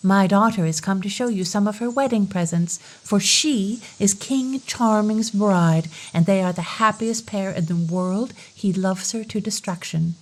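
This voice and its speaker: A woman's voice: mid-range voice, measured pace, hardly any pauses, close to an everyday voice, rough recording. A woman reading a storybook, voicing a cruel stepmother queen in a menacing, gloating way.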